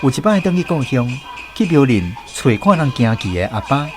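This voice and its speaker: Man, Taiwanese accent, radio storyteller, scripted narration, animated intonation, music underneath. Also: mid-range voice, brisk. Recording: music playing behind the voice.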